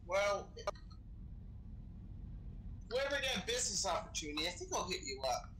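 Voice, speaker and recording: A man with a slightly high, bright voice talks casually as he role-plays in an online game. His manner is loose and sweary. The voice chat carries some light noise. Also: sing-song, moderate pace, poor audio. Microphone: game voice chat microphone.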